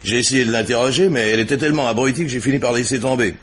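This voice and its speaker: A man's voice: medium pitch, very fast, fairly bright tone, a little hiss or hum, close to an everyday voice. An actor playing a diligent, chatty police inspector in a French radio crime drama, reporting to his superior with informal hesitations and wry asides. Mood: animated, amused.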